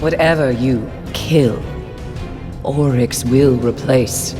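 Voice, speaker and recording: A haunted, ominous seeress in a game. She speaks extremely slowly and solemnly, her words hanging in a long hall reverb with music beneath. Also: a bass voice. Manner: slow, portentous, measured. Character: grim, haunted female mystic, ominous and solemn. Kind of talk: video game voice acting.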